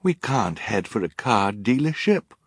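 A male narrator plays an earnest teenage girl in a storybook. The voice lifts in pitch and presses each point firmly, with defiant exasperation and a slightly husky edge. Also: moderate pace, no room sound, studio quality.

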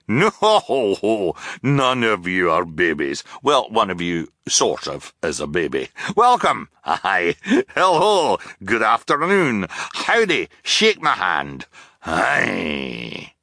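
A man voicing a cheerful, scatterbrained enormous male submarine captain who booms out contradictory orders. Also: huge pitch swings, takes it slowly, vocal fry, bright, forceful tone, dry recording, clean studio sound, heavily acted. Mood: boisterous. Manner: booming, blurting contradictory orders.

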